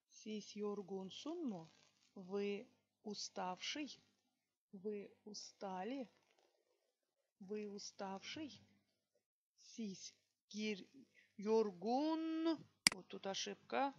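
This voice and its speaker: A woman teaching a language lesson, slowly dictating example sentences word by word and checking in with her students. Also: medium pitch, a bit bright, lo-fi sound. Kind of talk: online language lesson, explaining exercises.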